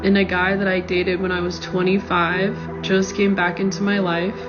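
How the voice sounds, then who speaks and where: A woman in her thirties speaks bluntly in a flat, even tone. The audio is slightly dull, with music clearly behind it. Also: fairly low pitch, normal speed. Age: 30s. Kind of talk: blunt confessional.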